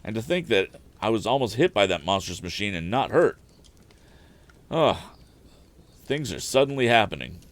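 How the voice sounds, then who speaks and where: Guy putting on an awestruck country wagon driver, voice raised up, marveling, really animated storybook character. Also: on the low side, normal speed, long pauses, voice pushed up. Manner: exclaiming, marveling. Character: awe-struck, excitable rustic wagon driver man.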